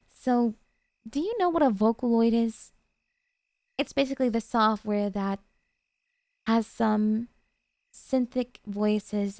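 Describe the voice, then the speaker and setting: A woman playing a doting, jealous yandere girlfriend in an ASMR roleplay, coaxing and sweetly earnest with hesitant pauses. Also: a little high, darkish tone, no room sound. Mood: sheepish, adoring.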